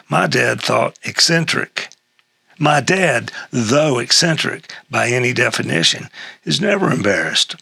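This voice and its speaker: Older man, American accent, heavy gravel, slow pace, halting delivery, humorous essay reading. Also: medium pitch, bright, forceful tone, dry recording. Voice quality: gravelly, husky. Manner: halting, with throat clearing and chuckles. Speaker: artist reading aloud.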